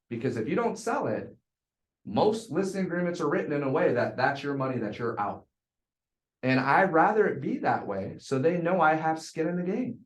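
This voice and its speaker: Man, real estate sales coach, blunt and emphatic, repetitive phrasing, echoey room. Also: a little high. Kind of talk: group coaching talk.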